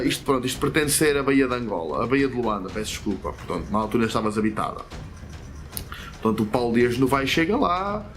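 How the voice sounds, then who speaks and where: A man's voice: fairly high pitch, a bit bright. A man with a European Portuguese accent hosts a history channel, reading a comic aloud and adding chatty, digressive commentary full of fillers and self-corrections.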